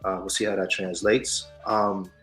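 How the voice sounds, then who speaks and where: A man co-hosting a casual news and culture show, animated, swinging between loud hype and soft asides. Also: sits low, unhurried. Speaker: podcast co-host. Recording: echoey room with faint music.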